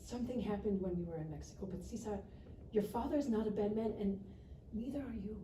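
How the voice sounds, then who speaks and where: Very mellow woman, acting gentle reasoning relative, earnest, soothing, live table read, echoey room, faint noise. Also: mid-range voice, fast talker, lightly acted. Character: gentle, reasoning immigrant relative, working waitress. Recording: roomy distant mic, faint noise.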